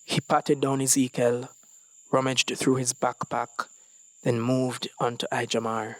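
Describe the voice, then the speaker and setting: Slow, measured male story narrator. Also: mid-range voice, little pitch movement, no room sound, poor audio. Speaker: story reader. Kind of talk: scripted dramatic narration of a short story.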